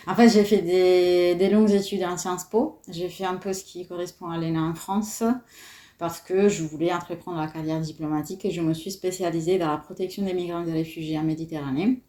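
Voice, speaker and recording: A woman in her thirties from Italy with an Italian accent, a doula guest talking casually in an interview, often pausing with fillers. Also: fairly low pitch, steady tone, mellow, echoey room. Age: 30s. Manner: hesitant, many fillers.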